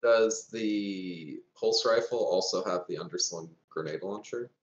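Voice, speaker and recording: A man's voice: low-pitched, a little slow. A man chatting casually as a player in a tabletop game, on a dull, roomy microphone. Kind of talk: casual gaming chat.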